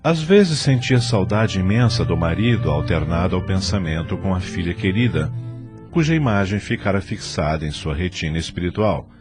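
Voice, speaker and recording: Male narrator, Brazilian accent, deep voice, animated intonation, solemn scripted storytelling, light music bed. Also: normal speed. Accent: Brazilian Portuguese. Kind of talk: scripted audio drama narration.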